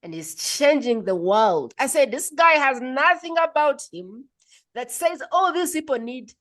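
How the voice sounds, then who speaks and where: A woman from Uganda hosting a podcast interview, telling a personal story in an animated, emphatic way. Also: high voice, measured pace. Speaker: podcast host.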